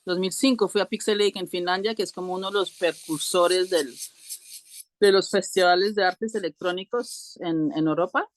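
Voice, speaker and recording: A woman guest telling her story casually in an interview, pausing often to search for words. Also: lowish voice.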